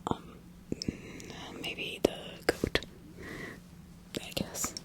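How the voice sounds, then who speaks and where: Woman acting a whispering, scared accused farm woman. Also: very slow. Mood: scared, pleading. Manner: hesitant, stammering, evasive, pleading. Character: scared, flustered poor farmer woman accused of witchcraft. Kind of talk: whispered ASMR roleplay.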